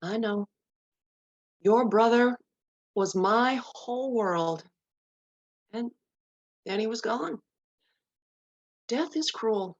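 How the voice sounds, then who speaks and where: A folksy, tender-hearted aunt played by a woman in a script read, on slightly muffled sound. Her pitch is fairly high and moves freely as she speaks in a plain, motherly way. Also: expressive, very slow, long pauses, exaggerated voice. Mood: grieving. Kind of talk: live dramatic script read.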